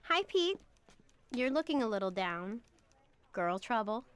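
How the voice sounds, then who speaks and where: A woman's voice: a little high, sing-song, a little slow, clear, resonant tone. A woman playing a friendly, teasing young woman in a video game cutscene.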